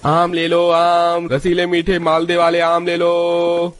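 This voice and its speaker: Struggling street produce hawker, played by a male narrator, pitched up high, for a village family tale. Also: completely flat delivery, slow pace, a little hiss or hum. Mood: calling out wares.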